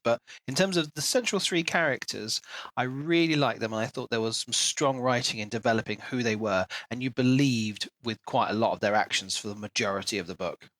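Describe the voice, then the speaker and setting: A man's voice: medium pitch, fairly quick, a bit bright. Male podcast co-host, mid-range voice, conversational and analytical, close-miked with no room echo. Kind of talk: casual book review discussion.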